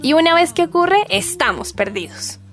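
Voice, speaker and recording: A woman's voice: very high voice, sing-song, normal speed, a bit bright, faint music behind. A woman with a Colombian accent delivering scripted radio narration. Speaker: radio presenter.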